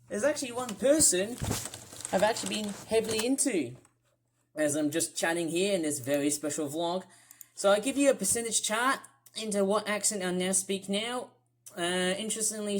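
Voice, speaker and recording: English-born woman, kinda aussie-sounding accent mixed w british, nasal-ish voice, rambly vlog chat w lots of ums. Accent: mixed British and Australian, mainly Australian. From England. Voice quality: slightly shrill and nasal. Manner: rambling, many fillers and restarts, talks while cleaning. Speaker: vlogger.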